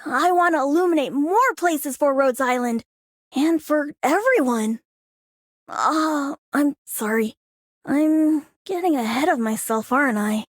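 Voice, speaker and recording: Earnest high-pitched girl. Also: expressive, unhurried, no room sound, studio quality. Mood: eager, bashful. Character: earnest, bashful, studious young girl. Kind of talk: scripted game character voice line.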